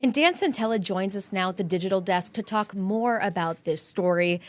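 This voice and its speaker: A woman's voice: mid-range voice, normal speed. A young woman hosting a news segment, stuffy from a cold, chatty and bubbly with rising inflections. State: congested with a cold. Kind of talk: upbeat scripted news hosting.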